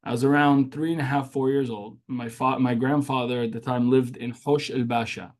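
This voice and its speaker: A man's voice: medium pitch, steady tone, moderate pace, darkish tone. A young man born in Syria whose first language is Arabic, earnestly recounting his childhood as an interview guest and often restarting his sentences. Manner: earnest, with frequent restarts and fillers. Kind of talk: interview storytelling.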